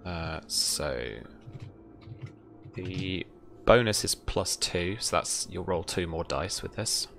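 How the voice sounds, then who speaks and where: A man's voice: deep voice, slow pace, gravelly, fairly bright tone. A young man speaking as game master, running a tabletop roleplaying game over music. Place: indoor room at home, video call. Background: background music.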